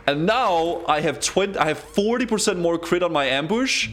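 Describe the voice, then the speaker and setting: Lively man streaming. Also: sits high. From Denmark. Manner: excitable, chatty, talks straight to chat. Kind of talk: casual live-stream commentary.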